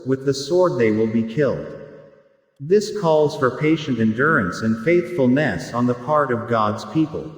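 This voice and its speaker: A man's synthetic text-to-speech voice giving a formal, measured scripted reading of scripture. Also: mid-range voice. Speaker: scripture reader. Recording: echoey hall with long reverb.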